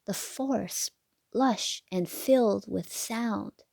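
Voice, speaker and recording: A woman reading a children's picture book aloud with expressive, deliberate phrasing. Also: mid-range voice, vocal fry, no room sound, studio quality. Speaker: read-aloud narrator for children.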